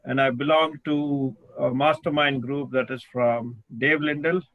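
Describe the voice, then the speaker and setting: A man introducing himself on a video call, speaking haltingly with many restarts. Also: mid-range voice, steady tone, dry recording, narrow, tinny audio. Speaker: real estate investor.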